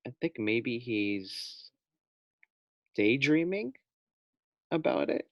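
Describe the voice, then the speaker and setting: A man's voice: mid-range voice, very animated, extremely slow, dry recording, muffled recording. A man reading a children's storybook aloud as a teacher, expressively, putting questions directly to young listeners.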